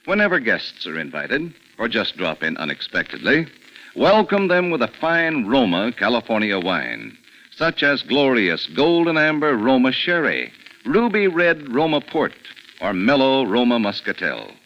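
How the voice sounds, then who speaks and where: A male announcer delivers a 1940s radio commercial. His bright, forceful voice swoops widely in pitch with polished, scripted salesmanship. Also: huge pitch swings, measured pace, rough recording. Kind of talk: 1940s radio advertising read.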